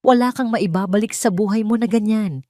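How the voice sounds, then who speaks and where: A rich, haughty older matriarch, acted by a woman narrator, taunts with open venom. The voice is pushed higher, in a very dry audio drama recording. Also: a little fast, dark tone, no room sound, close to an everyday voice. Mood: venomous, taunting.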